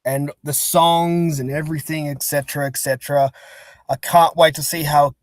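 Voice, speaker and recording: A man's voice: on the high side, steady tone, normal speed, no room sound, clean studio sound. A man chatting casually as an interview guest, talking in a rambling, chatty way. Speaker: interview guest, fan-dub creator. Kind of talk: casual chat.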